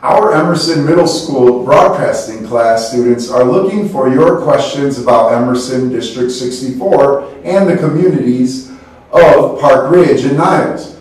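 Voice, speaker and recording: A man co-hosting a school morning broadcast, reading announcements in a steady, formal way. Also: mid-range voice, unhurried. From United States. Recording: echoey room, distant mic.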